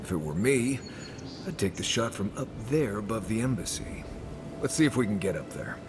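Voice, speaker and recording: Plain-spoken game companion, seasoned tracker dude, lowish voice, bit of rumbly noise behind. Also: male voice, very animated, normal speed. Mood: musing, matter-of-fact. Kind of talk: video game voice acting.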